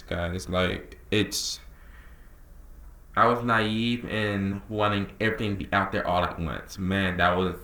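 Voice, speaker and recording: A man of nineteen talks casually and earnestly to camera as a creative director, drawling some words and rushing others. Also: low-pitched, pushed and bright. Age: young adult. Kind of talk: casual talking-head vlog. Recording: echoey room.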